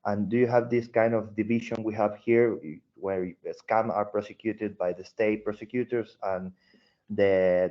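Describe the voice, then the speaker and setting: A man from Argentina with an Argentine Spanish accent, haltingly putting a question in an online meeting. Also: fairly low pitch. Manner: halting, repeats words. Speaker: meeting participant asking a question. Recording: dull, lo-fi online call.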